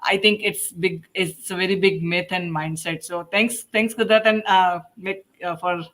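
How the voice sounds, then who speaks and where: A woman's voice: on the low side, steady tone, moderate pace, a bit bright. A woman speaking conversationally as a panelist in a discussion.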